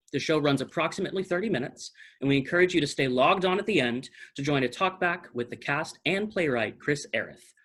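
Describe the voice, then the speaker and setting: A man's voice: mid-range voice, fairly bright tone, breathy edge. A man giving scripted pre-show announcements as the announcer for a theatre company.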